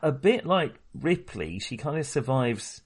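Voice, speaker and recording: A man's voice: medium pitch, very animated, measured pace, husky. A man co-hosting a casual film discussion, giving his opinions bluntly and emphatically. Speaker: film podcast co-host.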